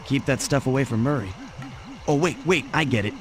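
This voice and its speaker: An actor playing a cool, wisecracking young thief in a video game, with a breezy, wry, casual delivery. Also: male voice, mid-range voice, brisk, crowd noise, lightly acted. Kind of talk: video game cutscene acting.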